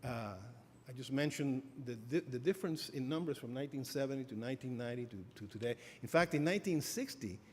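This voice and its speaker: A middle-aged man giving an academic lecture as a professor, halting with many fillers and restarts. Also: medium pitch, a little hiss or hum. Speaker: professor giving a keynote address. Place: lecture hall. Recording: reverberant hall, faint ambience.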